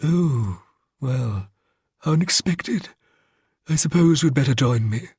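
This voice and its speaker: A man's voice: mid-range voice, expressive, slow pace, darkish tone, slightly rough, no room sound, studio quality, voice pushed up, exaggerated voice. A man voicing a gaunt, disdainful aristocratic lord, speaking in a prissy, condescending way.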